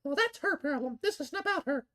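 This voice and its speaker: A woman voicing a bratty, hot-tempered teenage anime girl in a video game, sharp-tongued and indignant. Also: high-pitched, lively intonation, brisk, pitched above the performer's own voice. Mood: angry, scornful. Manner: snappy, indignant, taunting. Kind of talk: visual novel character voicing.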